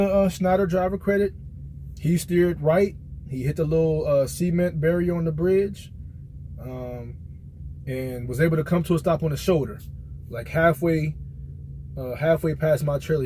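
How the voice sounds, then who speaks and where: A man's voice: medium pitch, mellow, a little hiss or hum, low-quality recording. A man talking casually and colloquially, like a truck driver giving a rambling, conversational gear review in a vlog.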